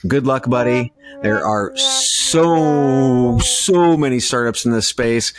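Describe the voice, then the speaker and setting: A man's voice: medium pitch, big pitch swings, slow pace, crowd noise. A man co-hosting a podcast in casual banter, with an irreverent, jokey way of talking. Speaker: podcast co-host.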